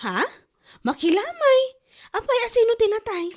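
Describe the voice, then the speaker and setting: A woman playing a lively, gossipy, scheming village wife in an Ilocano-accented comedy audio drama. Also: very high-pitched, moderate pace. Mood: curious, gossipy.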